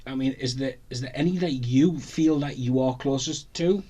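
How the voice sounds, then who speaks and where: A man with a husky, slightly rough voice hosts a podcast from a studio. He talks enthusiastically, rambling and restarting his sentences as he goes. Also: medium pitch, normal speed, dark tone. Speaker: podcast host. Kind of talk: casual interview chat.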